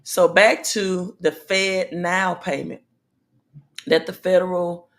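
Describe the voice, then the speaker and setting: A woman hosting a casual livestream about money news, with a slightly raspy voice. She talks in a halting way with many pauses and fillers, and calls out to her viewers directly. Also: fairly low pitch, flat delivery, fairly bright tone. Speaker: livestream host.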